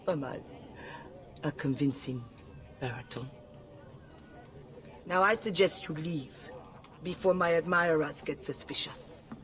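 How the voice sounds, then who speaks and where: A sly secret broker. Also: female voice, low-pitched, a bit bright. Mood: amused. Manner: teasing, slow and measured, with a French flavor. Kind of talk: video game cutscene acting. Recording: game cutscene with music behind.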